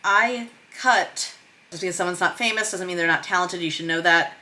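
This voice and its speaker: A woman with a slightly low, bright voice hosts a casual video log about writing. She explains her craft in an animated way, speaking forcefully and dropping into read-out script lines as examples. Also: moderate pace. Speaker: filmmaker and screenwriter hosting a video log.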